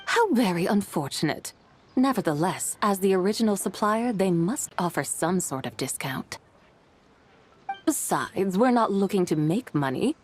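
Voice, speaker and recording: A woman voicing a calm, dignified, literal-minded scholarly woman in a video game, speaking in a formal, measured, matter-of-fact way. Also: mid-range voice, slight background noise, lightly acted. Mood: composed, courteous.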